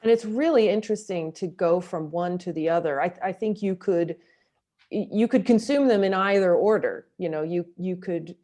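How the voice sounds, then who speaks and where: A woman's voice: lowish voice, moderate pace, warm tone. A woman talking conversationally in an interview as a director and actor, thoughtful and emphatic in how she makes her points. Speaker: audio drama director and actor being interviewed.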